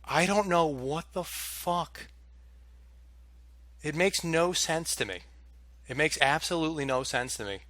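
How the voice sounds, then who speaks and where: A man's voice: on the high side, unhurried, long pauses, a bit bright, no room sound. A young man telling a story to camera in a casual, exasperated rant with plenty of swearing. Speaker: vlogger.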